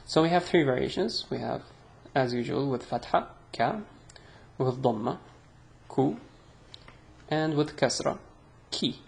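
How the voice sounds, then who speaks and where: Slow, gravelly-voiced Arabic-accented man teaching. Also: medium pitch, lively intonation. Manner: slow, chatty, self-deprecating, drawn-out sounds. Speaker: language teacher. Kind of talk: casual online language lesson.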